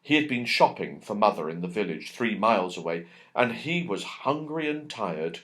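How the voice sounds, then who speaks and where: A man's voice: fairly low pitch, very animated, normal speed, fairly bright tone. A man narrating a children's storybook expressively, drawing out key words for emphasis. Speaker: audiobook narrator.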